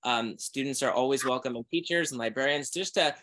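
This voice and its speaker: Guy author hyping his book on a video call, mid-pitch voice. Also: brisk. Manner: energetic, promotional. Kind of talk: webinar conversation.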